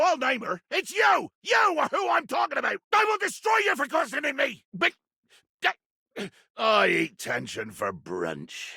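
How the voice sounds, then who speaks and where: An actor playing a grandiose, menacing pirate captain in a video game, raspy and theatrical, haughty with archaic nautical insults. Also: male voice, high-pitched, sharp tone, dry recording, studio quality, exaggerated voice. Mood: indignant. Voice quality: gravelly, raspy. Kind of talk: video game character acting.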